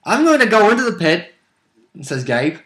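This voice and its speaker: A man's voice: high-pitched, big pitch swings, normal speed, a bit bright. A man chatting casually as a player about a tabletop role-playing game, blunt, opinionated and casually sweary.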